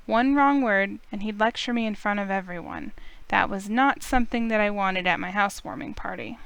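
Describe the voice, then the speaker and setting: A woman's voice: mid-range voice, gravelly, a bit bright, clear, resonant tone, no room sound. A woman reading a visual novel aloud as dramatic first-person narration, with music behind her. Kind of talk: dramatic narration of a game's text.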